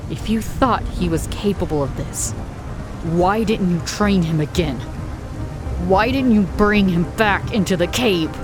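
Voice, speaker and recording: A woman's voice: mid-range voice, lightly acted. A woman playing a fierce, confrontational young crimefighting heroine in a comic dub. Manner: insistent, confrontational.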